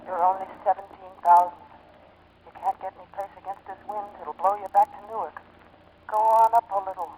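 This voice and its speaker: A woman's voice: mid-range voice, steady tone, fairly quick, dark tone, lightly acted. An actress playing a plucky young woman racing pilot who is hard on herself, in 1940s radio style. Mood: determined. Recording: faintly noisy 1940s radio recording.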